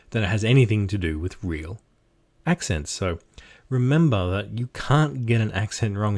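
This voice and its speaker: An Australian man with a fairly low voice who talks in a relaxed, conversational way. He is encouraging and pokes fun at himself as he explains game-mastering techniques. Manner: chatty, self-deprecating, encouraging. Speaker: game master giving tips. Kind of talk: casual instructional talk.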